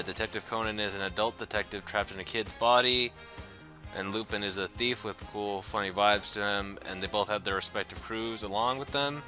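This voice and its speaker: A man on a casual podcast, giving a measured, lecture-like rundown of the topic. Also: on the low side, sharp tone. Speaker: co-host.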